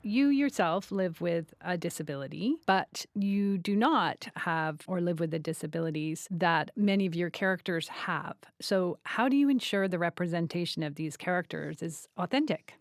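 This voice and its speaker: Low-voiced woman hosting a podcast. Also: expressive, normal speed, few pauses, no echo, studio quality. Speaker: podcast host and interviewer. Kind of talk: scripted, measured presenting.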